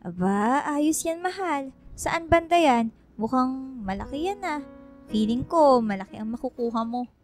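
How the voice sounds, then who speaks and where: A woman with a Filipino accent playing a lively, supportive wife and mother in a radio drama, exclaiming eagerly. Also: sits high, measured pace. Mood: excited. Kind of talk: radio drama acting, animated family talk. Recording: dry voice with music bed.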